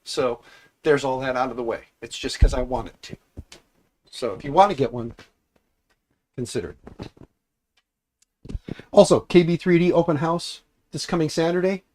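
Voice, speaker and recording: A man hosting a casual livestream, congested and raspier than usual, rambling and repeating himself as he talks to his chat. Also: mid-range voice, moderate pace, pauses often, darkish tone. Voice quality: raspy, congested. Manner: mild swearing, offhand. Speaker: livestream host.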